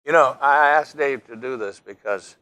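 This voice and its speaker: A man's voice: mid-range voice, moderate pace, pushed and bright, no echo. Older guy hosting a local talk show, folksy and rambling, talks to the folks at home. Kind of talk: local cable interview.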